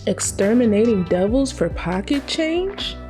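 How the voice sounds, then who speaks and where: A woman's voice: fairly high pitch, very animated, unhurried, music in the background. A woman playing a sneering, contemptuous gangster underling in a fan-made motion comic, with a mocking delivery.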